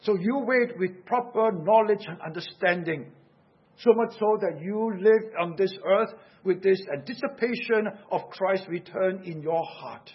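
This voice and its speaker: Singaporean man preaching a sermon, husky high voice, dull lo-fi recording, urgent and questioning. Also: measured pace. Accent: Singaporean English.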